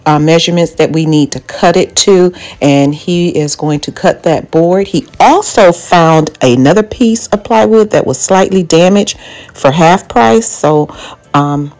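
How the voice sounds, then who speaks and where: A woman's voice: on the low side, measured pace, darkish tone, rough recording. A woman narrating a home do-it-yourself project over video, explaining each step plainly with occasional ums. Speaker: home DIY vlogger.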